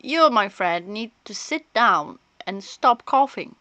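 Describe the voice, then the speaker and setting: A woman's voice: mid-range voice, animated, takes it slowly, bright, forceful tone, no echo. A woman doing casual live gameplay commentary, chatting and thinking aloud as she streams. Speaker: game streamer.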